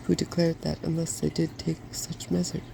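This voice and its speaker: A softspoken ASMR host reading a fairy tale from a storybook in a gentle, quiet way. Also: mid-range voice, flat delivery, normal speed. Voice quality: soft-spoken. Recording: clearly noisy background.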